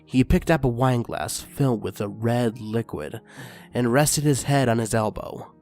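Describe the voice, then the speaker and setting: A man narrating a fan fiction story aloud in a steady, expressive reading voice. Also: medium pitch, measured pace, soft background music.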